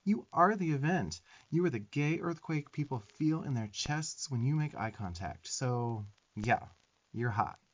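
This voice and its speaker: A sassy little demon twink, acted by a man for roleplay ASMR, with an average-pitched male voice. He scolds emphatically and profanely, bossy yet openly fond of the listener. The audio is slightly dull. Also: animated, measured pace. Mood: fierce affection.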